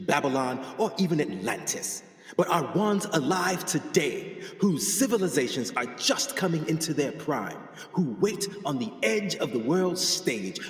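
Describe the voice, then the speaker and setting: Zealous gentleman explorer for a radio drama, male actor: grandiose, defiant, very animated delivery, strongly echoing room. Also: fairly high pitch, measured pace, soft background music. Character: proud, zealous male 1920s explorer-scholar. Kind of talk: theatrical radio play acting. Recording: echoey hall with long reverb.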